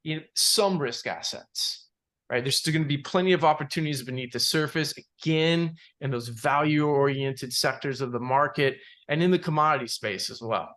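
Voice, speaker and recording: A man giving unscripted analysis as a market analyst, in a halting way, repeating words and padding with "you know". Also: fairly high pitch, fairly bright tone. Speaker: market analyst presenting charts. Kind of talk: unscripted financial chart commentary.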